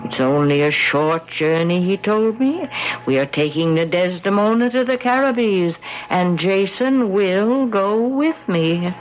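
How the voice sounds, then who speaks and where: An actress playing a mournful, insistent old ghost of a sea captain's widow in a solemn, old-fashioned radio drama style. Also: female voice, mid-range voice, lively intonation, a little slow, lightly acted. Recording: dull old radio recording, music behind.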